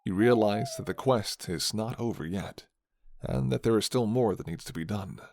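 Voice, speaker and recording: A man narrating a scripted fantasy story in the second person, with measured, dramatic storytelling. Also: fairly low pitch, gravelly, a little mellow, no echo.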